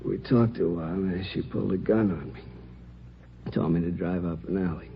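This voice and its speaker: A man playing a shaken, sheepish crime victim in a radio drama, speaking haltingly and a little defensively. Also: deep voice, fairly even intonation, creaky. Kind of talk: 1950s radio drama acting. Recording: muffled, noisy old radio recording.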